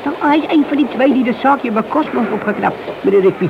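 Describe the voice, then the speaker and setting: An actor playing a wheedling, hesitant petty crook and police informer in a radio play. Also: male voice, very high-pitched, fast, creaky. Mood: nervous. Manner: hesitant, evasive, servile to his handler. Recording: dull, noisy old radio drama recording.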